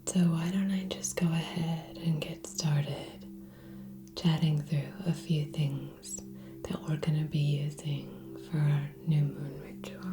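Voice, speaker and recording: A woman speaking soft, breathy ASMR in a near-whisper, gently hosting with long pauses between short phrases. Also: sits low, level tone. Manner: slow, gentle, hushed delivery with long pauses. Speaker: ASMR channel host. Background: relaxing music with singing bowl tones.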